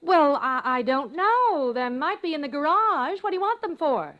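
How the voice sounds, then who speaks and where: A woman playing an anxious, gentle mother who hesitates as she hides her unease, in an old-time radio drama. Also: high voice, very animated, normal speed, no room sound, highs cut off. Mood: puzzled, concerned. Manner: hesitant, searching, trailing off.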